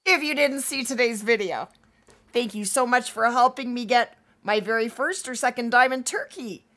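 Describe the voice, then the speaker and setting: A woman's voice: fairly high pitch, moderate pace, a bit bright. A woman streaming a hunting game live, chatting and greeting her viewers by name while she is losing her voice. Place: home streaming setup.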